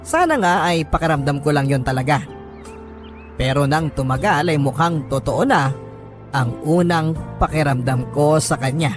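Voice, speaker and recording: Filipino man narrating, higher-pitched, over music. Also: normal speed. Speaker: radio host reading listeners' stories. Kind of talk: dramatic scripted first-person story narration. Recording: music bed under the voice. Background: background music.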